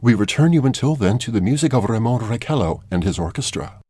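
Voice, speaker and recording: A man's voice: mid-range voice, lively intonation, mellow, slightly rough, dry recording. Man playing a formal radio bulletin announcer, dry sound. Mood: formal, composed. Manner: formal, measured announcer delivery. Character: urgent male radio news announcer reading bulletins. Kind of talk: 1930s radio drama news bulletin.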